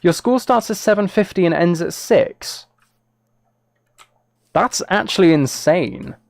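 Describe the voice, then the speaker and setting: A man's voice: high voice, expressive, slow pace, creaky, a little mellow, no echo. Chatty man streaming, dry close sound. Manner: chatty, thinking aloud as he plays. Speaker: live streamer. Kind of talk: casual live game stream chat, replying to viewers.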